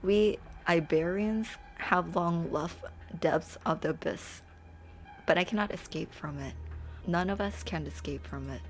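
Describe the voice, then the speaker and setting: Woman acting a grave, brooding, slow-spoken swordfighter. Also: on the low side, close to an everyday voice. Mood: brooding, resolute. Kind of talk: dramatic game story dub. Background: music.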